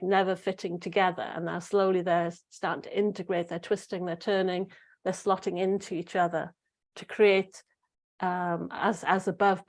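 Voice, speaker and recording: A middle-aged woman talks to her listeners in a loose, rambling way, full of fillers and restarts. Her voice sits a little low and sounds slightly croaky. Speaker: spiritual podcast host. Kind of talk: casual solo talk to listeners.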